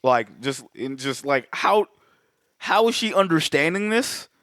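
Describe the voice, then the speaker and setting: A man's voice: on the high side, expressive, unhurried, a bit bright, dry recording. Rambling man, dry close mic. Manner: rambling, many restarts and fillers. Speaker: podcast co-host. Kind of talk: casual chat.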